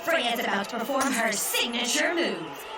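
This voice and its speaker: Echoey, high-pitched wrestling announcer acted by a woman. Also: sing-song. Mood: hyped. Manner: drawn-out, dramatic announcing. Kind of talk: audio drama acting as sports announcer. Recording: echoey, distant, under loud music.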